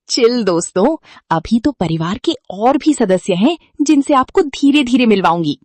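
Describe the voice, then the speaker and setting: Woman playing a lively young vlogging housewife. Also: a little high, unhurried, dry recording. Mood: cheerful, cheeky. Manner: playful, cheeky, mixes in English slang. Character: chirpy modern young daughter-in-law who vlogs. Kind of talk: cartoon vlog-style storytelling.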